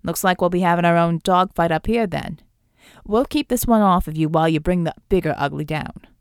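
A woman voicing a cheerful, cocky male flying operative, warm and teasing, full of breezy banter, in an audiobook adventure. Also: lowish voice, a little fast, clear, resonant tone, dry recording, clean studio sound, voice pushed down, natural voice. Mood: confident.

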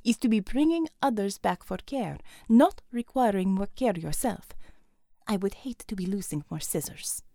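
A woman's voice: medium pitch, animated, moderate pace, warm tone, dry recording, natural voice. A woman voicing a stern, dry Russian combat doctor with a Russian accent and clipped, commanding broken English.

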